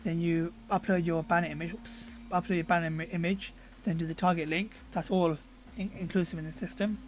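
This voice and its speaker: A hoarse man reviewing a software tool, casually talking through a screen recording with frequent fillers. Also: on the high side, fairly quick, a little hiss or hum. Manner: relaxed, conversational, with frequent fillers. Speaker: product reviewer.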